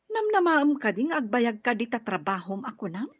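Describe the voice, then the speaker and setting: A woman's voice: fairly high pitch, very animated, moderate pace, husky, no echo. An actress playing an affectionate, effusive, persuasive godmother in a radio drama. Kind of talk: Ilocano radio drama acting. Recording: dull, lo-fi radio drama audio.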